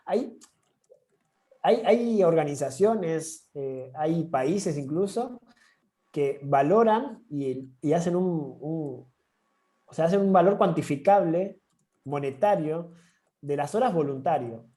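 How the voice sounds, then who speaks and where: A man's voice: fairly high pitch, expressive, slow pace, warm tone. A man with an Argentine accent giving an online training session, talking informally with frequent restarts. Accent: Argentine Spanish. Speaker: course instructor.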